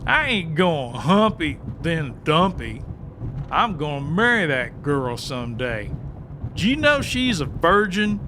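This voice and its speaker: High-pitched, crude, blustering sidekick. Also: male voice, very animated, slow, background noise. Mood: boastful. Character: cocky, crude, hot-tempered blind country sidekick, male. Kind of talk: audiobook drama acting.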